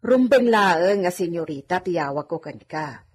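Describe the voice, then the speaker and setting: A woman's voice: fairly low pitch, lively intonation, measured pace, darkish tone, slight background noise. A woman playing a humble, deferential village housemaid who is easily flustered, in an Ilocano radio comedy drama. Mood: deferential, admiring. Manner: exclamatory, respectful, flustered.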